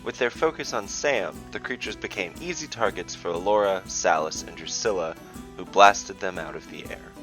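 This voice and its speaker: A man reading scripted fantasy narration as a podcast storyteller, in a measured, dramatic way. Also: low voice, fairly bright tone, faint music behind, rough recording. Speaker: storyteller and dungeon master, podcast host.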